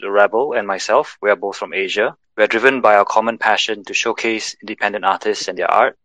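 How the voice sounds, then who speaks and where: A man from Singapore, co-hosting a casual podcast and reading a scripted intro, measured and polite. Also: fairly low pitch, pushed and bright, dry recording, low-quality recording. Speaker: podcast co-host. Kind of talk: scripted show intro, then casual chat.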